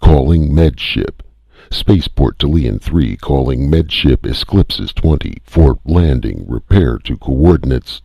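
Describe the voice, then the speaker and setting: A man's voice: a bass voice, measured pace, gravelly, breathy edge, no echo, dull audio, voice pushed up, exaggerated voice. A man voicing a male spaceport controller who speaks curtly and strictly professionally.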